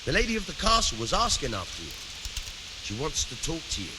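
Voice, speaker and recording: High-voiced castle messenger, loud hiss. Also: male voice, wildly swooping pitch, a little fast. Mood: brisk. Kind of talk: video game voice acting.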